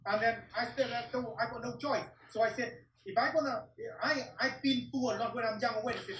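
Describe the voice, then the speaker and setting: A man's voice: extremely high pitch, fairly even intonation, quick pace, fairly bright tone, slight background noise. A middle-aged man from Vietnam, a martial arts teacher telling his story in an interview in blunt, broken English and swearing often. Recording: roomy echo, distant mic.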